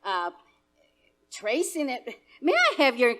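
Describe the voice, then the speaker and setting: Lady doing a careful official chief operator, slow talker, high lively voice, old radio play. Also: full character voice. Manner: measured, official and hesitant. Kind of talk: live radio play staged as 1930s radio acting.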